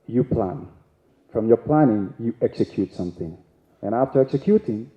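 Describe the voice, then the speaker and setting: Man, panelist, halting explanations, roomy echo, muffled lo-fi audio. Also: medium pitch, warm tone. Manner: halting, restarts, explains step by step. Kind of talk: panel discussion talk. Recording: echoey hall, dull sound.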